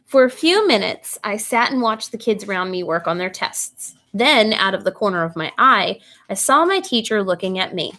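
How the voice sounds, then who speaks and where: A woman reading a children's bedtime story aloud in an expressive, animated way, putting questions to young listeners. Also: medium pitch, normal speed, few pauses.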